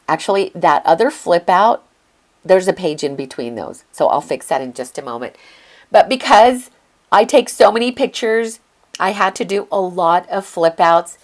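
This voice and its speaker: An older woman narrating a casual flip-through of her craft journal, chatty and gushing, her voice slightly hoarse from a lingering illness. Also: on the low side. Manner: chatty and gushing, with occasional throat clearing.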